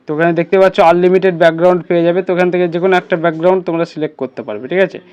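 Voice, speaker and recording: A man's voice: high-pitched, fairly even intonation, very fast, darkish tone, smooth, highs cut off. A man hosting an informal step-by-step tutorial, instructing and often checking that listeners follow. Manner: instructional, repeatedly checking understanding. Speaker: tutorial host.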